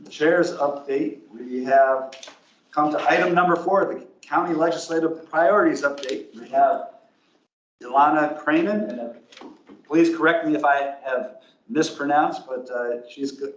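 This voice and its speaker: A man's voice: medium pitch, a little hiss or hum. A man chairing a public commission meeting, meandering and thinking aloud as he hedges his way through the agenda items. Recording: distant, roomy, dull lo-fi meeting room.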